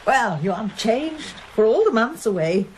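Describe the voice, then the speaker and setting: A woman's voice: on the high side, sing-song, moderate pace, a little mellow, slight background noise, rough recording. An actress playing an anxious, reserved middle-aged wife and mother in a radio drama, wistful and guarded. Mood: welcoming. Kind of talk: radio drama acting, with first-person narration.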